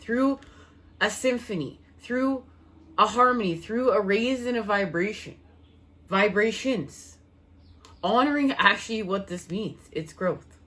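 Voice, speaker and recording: A woman giving a spiritual tarot reading, her voice worn and fading, speaking in slow, soothing, repetitive phrases. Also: medium pitch, a bit bright. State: losing her voice. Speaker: tarot reader.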